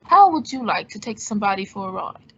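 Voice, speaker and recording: Woman as male sleuth hero, quick-witted, composed, old-time radio drama, husky, muffled recording. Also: medium pitch, very animated, fairly bright tone, full character voice. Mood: wry, cool. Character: Simon Templar, cool, quick-witted male adventurer sleuth. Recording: dull lo-fi audio.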